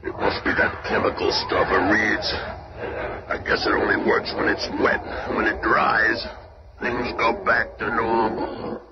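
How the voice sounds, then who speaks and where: An actor playing a gruff, big-hearted, streetwise monster hero in a radio drama, blunt and emotional. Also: male voice, fairly low pitch, steady tone, unhurried. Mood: tender, rueful. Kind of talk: 1970s radio drama acting. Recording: dull, noisy old radio recording.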